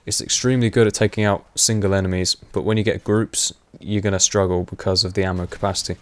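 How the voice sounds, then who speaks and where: A young man giving casual, rambling commentary over gameplay, with many fillers and frequent laughs, his voice getting hoarse. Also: low voice, level tone, fast talker. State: voice going hoarse. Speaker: gaming commentator.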